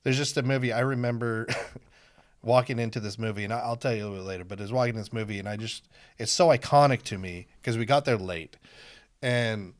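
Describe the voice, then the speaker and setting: A man's voice: lowish voice, brisk. Chatty, laughing man hosting a podcast. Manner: chatty, laughing often, meandering. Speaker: podcast host. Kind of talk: casual chat. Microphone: podcast microphone.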